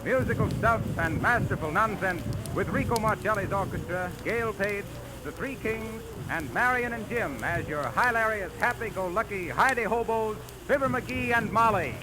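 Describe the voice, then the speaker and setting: A man's voice: high-pitched, moderate pace, very noisy. A man announcing a 1930s radio show in a polished, theatrical, scripted style. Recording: vintage 1930s radio recording with loud music. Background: loud orchestra music.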